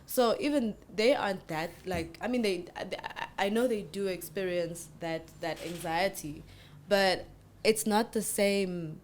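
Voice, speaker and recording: A woman's voice: mid-range voice, measured pace, slight background noise. A young woman co-hosting a podcast, talking in a chatty, rambling way with many restarts. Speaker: podcast co-host. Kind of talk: casual chat.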